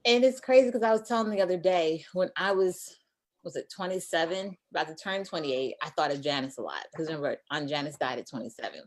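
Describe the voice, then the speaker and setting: A woman in her thirties hosting a casual chat, talkative and teasing, with quick side notes. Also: deep voice, animated. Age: 30s. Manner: chatty, teasing, quick asides. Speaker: host.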